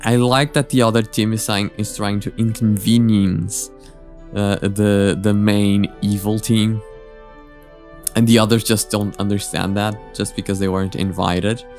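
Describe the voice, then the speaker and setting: Low-voiced man giving rambling commentary. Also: flat delivery, a little mellow, faint music behind. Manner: hesitant, halting, repeats words. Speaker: reaction video host.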